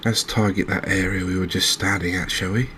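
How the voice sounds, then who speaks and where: A man giving soft-spoken ASMR commentary as he plays a video game, in a measured, gentle, chatty manner. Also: low voice, steady tone, a little hiss or hum. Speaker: host playing a fishing video game.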